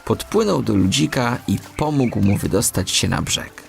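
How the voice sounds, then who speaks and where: A man narrating a children's audiobook in an expressive storytelling style. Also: fairly low pitch, normal speed, creaky, faint music behind.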